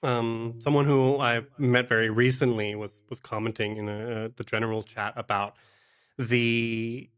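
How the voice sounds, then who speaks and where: A man talking conversationally as a podcast guest and game master, explaining things in a halting, thoughtful way. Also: mid-range voice, level tone, a bit bright, smooth. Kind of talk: conversational interview talk.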